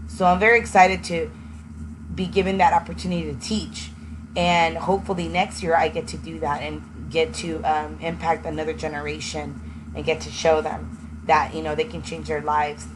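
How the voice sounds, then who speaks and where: A young woman who grew up in Texas, a native Spanish speaker, earnestly telling her own story as an education student and pausing often with ums. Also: low voice. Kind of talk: personal testimony. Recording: noisy with passing vehicle sounds.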